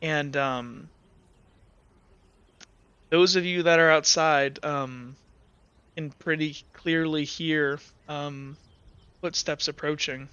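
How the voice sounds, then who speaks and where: Rambling game master man, bright voice. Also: on the high side, steady tone, slow, pauses often, clear, resonant tone, no echo. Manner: rambling, lots of fillers and hedges. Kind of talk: narrating a tabletop game. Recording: dull lo-fi audio.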